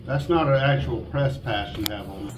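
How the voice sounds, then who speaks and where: A man's voice: medium pitch, moderate pace. Distant, curt official man in echoey office. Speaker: district attorney's office official. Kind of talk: tense face-to-face exchange. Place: small indoor office. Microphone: handheld camera a few feet away. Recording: roomy, distant camera mic with slight noise.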